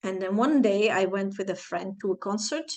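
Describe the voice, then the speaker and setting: A woman in her forties, a native Flemish speaker with a Flemish accent, telling a personal story to camera with halting, searching phrasing and playful sound effects. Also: mid-range voice, lively intonation, darkish tone. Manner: halting, searching for words, many restarts and fillers. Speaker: channel host, life coach.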